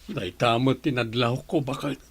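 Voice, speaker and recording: A man with a Filipino accent and a husky voice, playing a weary, grumbling farmer husband in a radio drama. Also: medium pitch, moderate pace.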